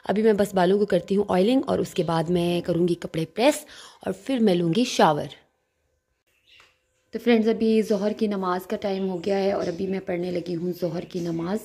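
A young woman talks chattily to her viewers as friends, narrating her day at home into a phone. Her voice is mellow, with a gravelly, husky edge from a sore throat. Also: lowish voice, steady tone, moderate pace. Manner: chatty, warm-hearted, addressing viewers as friends. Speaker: vlogger. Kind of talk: casual home vlog voice-over. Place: home, indoors.

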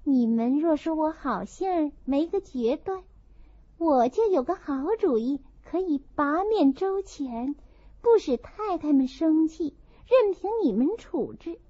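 Woman plays passive young lady: high but mellow, mild, unbothered, unhurried storybook delivery. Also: animated. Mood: mild, resigned. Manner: mild, unconcerned. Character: meek, easygoing, passive young noblewoman. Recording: dull lo-fi dry recording.

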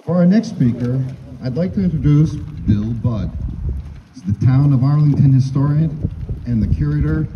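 A man emceeing a public event formally introduces the next speaker, speaking loudly. The sound is somewhat dull, with faint noise behind him. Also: medium pitch, animated, moderate pace.

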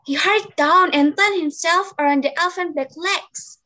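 A woman's voice: very high-pitched, moderate pace, sharp tone. A young woman reading scripted story narration over a video call, as a student narrator. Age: young adult.

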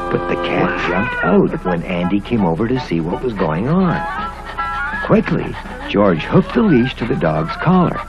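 Animated American male storyteller, swooping pitch. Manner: theatrical, expressive storytelling. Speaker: storybook narrator. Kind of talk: scripted children's story narration. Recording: muffled old cartoon soundtrack with music.

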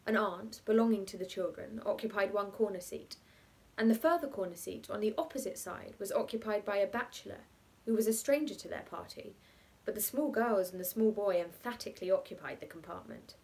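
A young adult woman reads a literary short story aloud in a measured, articulate, expressive way. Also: mid-range voice, vocal fry.

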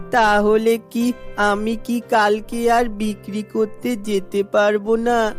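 Poor little boy voiced by a woman, earnest and plaintive, unhurried pace, cartoon drama with faint music behind. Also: mid-range voice, natural voice. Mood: worried, sad. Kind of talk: children's cartoon acting.

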